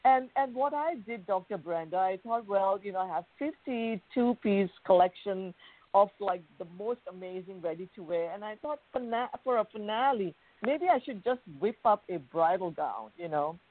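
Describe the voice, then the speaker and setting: A woman's voice: medium pitch, expressive, normal speed. A woman from Thailand, a guest on a radio interview, telling her story in a chatty, enthusiastic way. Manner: chatty, enthusiastic, frequent "you know". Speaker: guest, bridal designer. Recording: phone-like narrow band.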